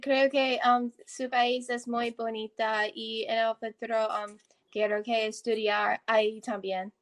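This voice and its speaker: Non-native Spanish-speaking woman, slightly high voice, halting, filler-heavy delivery. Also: level tone. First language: not Spanish. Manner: hesitant, many um fillers. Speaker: Spanish learner. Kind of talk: casual conversation.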